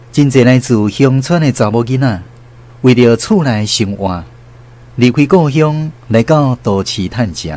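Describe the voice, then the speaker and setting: A man's voice: medium pitch, a little mellow. A man with a Taiwanese accent delivering scripted radio narration as the programme's host. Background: faint music.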